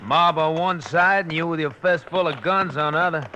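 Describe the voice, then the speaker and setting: A captured frontier gunslinger, wry and unrepentant, with a forceful, fairly high voice. His folksy, sardonic talk is old radio drama acting with faint recording noise. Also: moderate pace, lo-fi sound. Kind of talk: 1950s radio western acting.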